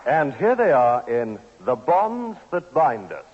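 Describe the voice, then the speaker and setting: A man's voice: medium pitch, sing-song, slow pace, noisy background. A man with a British accent formally announcing a 1960s radio comedy. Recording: dull 1960s radio recording with music.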